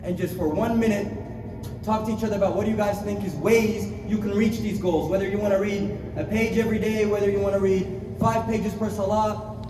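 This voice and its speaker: Animated man teaching a youth group. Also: high voice, a little fast, far from the mic, noisy background. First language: Arabic. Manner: playful, animated, addresses the group directly. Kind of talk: casual classroom talk. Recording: echoey room recorded from afar.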